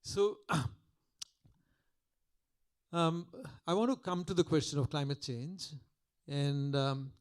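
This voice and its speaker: Soft-spoken older man lecturing. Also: a little high, slow pace, long pauses, clean tone. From Calcutta, India. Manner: soft-spoken, reflective, with frequent restarts. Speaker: guest lecturer. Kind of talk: public lecture. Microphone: podium microphone.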